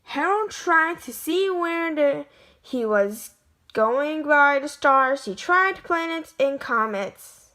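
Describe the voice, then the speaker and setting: A woman with a high, bright voice reads a children's storybook aloud. She goes very slowly and haltingly, often stumbling over the words as an amateur reader does. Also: expressive. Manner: halting, stumbling word-by-word reading. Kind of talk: children's picture book read-aloud.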